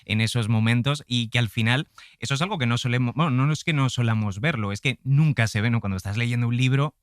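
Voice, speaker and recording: A man's voice: medium pitch, quick pace, dry recording, clean studio sound. A man hosting a conversational interview, building up his points in a wordy, circling way. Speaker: podcast interviewer.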